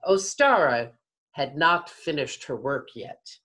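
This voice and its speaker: Slow, very lively older woman storyteller. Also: deep voice. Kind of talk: scripted storytelling for children. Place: home room, indoors. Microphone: video call computer mic.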